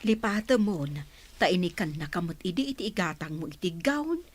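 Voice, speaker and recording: Animated older Ilocano mother. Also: lowish voice, normal speed. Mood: scolding, curious. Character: Ivory's mother, blunt, inquisitive older woman. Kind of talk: Ilocano radio drama acting.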